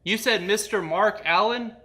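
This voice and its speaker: A man with a fairly high, bright voice hosts a casual comedy bit. He talks in an easy conversational way, keeping up a mock-earnest front suited to a prank call. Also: a little slow. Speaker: comedy show host making a prank call.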